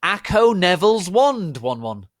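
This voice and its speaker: A man voicing a menacing, megalomaniac dark wizard lord who makes pompous, drawn-out archaic proclamations in a dramatic reading. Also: high-pitched, big pitch swings, no echo, studio quality, voice pushed up. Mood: wrathful, commanding.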